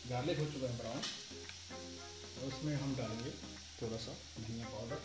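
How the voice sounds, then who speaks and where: Male cooking host, 30s, plain instructive delivery, mid pitch, roomy kitchen sound with clear background music. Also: moderate pace. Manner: instructive, explains each step plainly. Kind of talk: step-by-step recipe narration. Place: home kitchen, indoors. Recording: echoey kitchen with music underneath.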